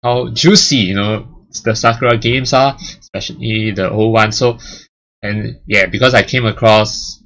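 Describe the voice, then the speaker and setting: A man's voice: fairly low pitch, fairly even intonation, measured pace, a bit bright, slight background noise. A man casually chatting about his channel's history as its host, rambling with lots of fillers and restarts. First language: English and Chinese. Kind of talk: casual milestone chat.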